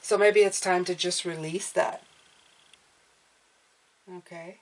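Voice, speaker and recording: A woman's voice: on the low side, steady tone, slow pace, low-quality recording. A woman giving a casual tarot reading who sounds stuffed up and nasal, speaking encouragingly and often checking in with "okay?". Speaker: tarot reader.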